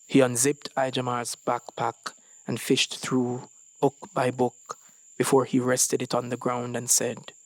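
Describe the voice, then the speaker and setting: Slow, measured male story narrator. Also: mid-range voice, completely flat delivery, no echo, poor audio. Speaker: story reader. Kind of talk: scripted dramatic narration of a short story.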